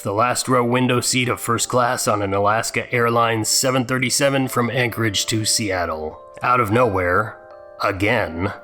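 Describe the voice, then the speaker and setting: A man's voice: on the low side, fairly bright tone. A man hosting a dramatic reading, adding sarcastic, mocking commentary of his own. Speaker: host.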